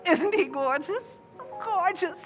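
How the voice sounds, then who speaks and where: A grand, spoiled screen diva acted by a woman in an old-time radio drama, high-voiced and swooping from coo to command. She gushes theatrically and imperiously over music. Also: animated, slow, muffled recording, exaggerated voice. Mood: breezy, gushing. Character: spoiled, gushing, self-adoring female movie star called Madame.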